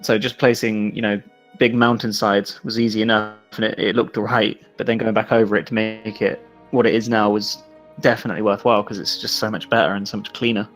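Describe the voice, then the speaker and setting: A man's voice: lowish voice, flat delivery, brisk, a bit bright. A man with an Irish accent chatting casually on a livestream about his design work. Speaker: landscape designer on a game modding team. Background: faint music.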